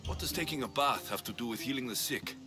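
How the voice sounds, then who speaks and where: An actor playing a tough, blunt, sardonic mercenary of ancient Greece in a video game. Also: male voice, mid-range voice, fast, over music, close to an everyday voice. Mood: questioning, determined. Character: tough, wry male Spartan mercenary, blunt and menacing. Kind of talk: video game character acting.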